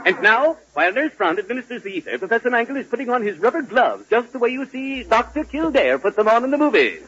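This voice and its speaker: A man playing an excitable announcer gushing a mock sales pitch in 1940s radio comedy. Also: fast, full character voice. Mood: hushed excitement. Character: excitable radio announcer hawking a miracle drink. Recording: noisy, dull vintage 1940s radio broadcast.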